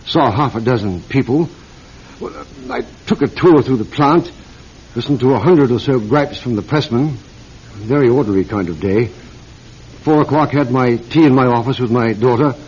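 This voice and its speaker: A man acting a bewildered aristocratic British publisher in classic radio drama. His voice is husky and refined, halting as he gropes through his sentences. The old recording sounds dull and muffled. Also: mid-range voice, darkish tone, natural voice. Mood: faltering recollection. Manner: faltering, hesitant, refined.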